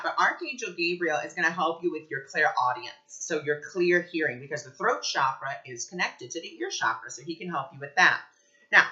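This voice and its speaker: A man's voice: on the high side, pushed and bright, lo-fi sound. A man talking in a casual spiritual vlog as a psychic reader, gushing and enthusiastic.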